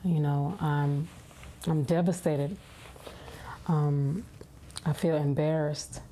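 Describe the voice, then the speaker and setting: Female interviewee, very low and dark voice, halting emotional testimony, faint noise behind. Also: steady tone. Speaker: corrections officer being interviewed.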